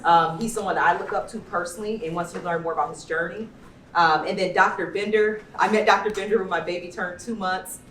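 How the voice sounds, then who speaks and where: A woman with a slightly low voice hosts a live panel in a chatty, effusive way. The room is echoey and she sounds distant from the mic, speaking personally and informally. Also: steady tone, brisk, fairly bright tone, a little hiss or hum. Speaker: panel moderator and event host. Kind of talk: informal spoken panel introduction. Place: indoor event venue, amplified panel talk.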